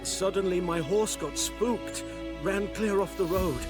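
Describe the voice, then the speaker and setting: An actor playing a frightened, self-pitying peasant trader in a fantasy game, moaning and plaintive. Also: male voice, high voice, measured pace. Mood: fearful. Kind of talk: video game voice acting. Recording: music behind the voice. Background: music.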